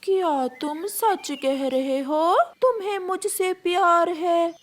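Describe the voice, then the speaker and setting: A woman voicing a trusting, dying female bird in a cartoon story, speaking in a slow, plaintive, dramatic way. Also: very high voice. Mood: hopeful surprise. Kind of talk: Hindi cartoon storybook acting.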